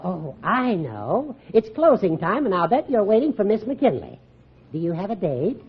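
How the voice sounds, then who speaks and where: An American actor playing a wry, meddlesome old judge who teases dryly in a 1950s radio comedy. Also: male voice, high-pitched, big pitch swings, normal speed, husky, full character voice. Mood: needling. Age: older. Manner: dry, teasing, meddlesome, cackling. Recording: muffled, noisy old radio broadcast.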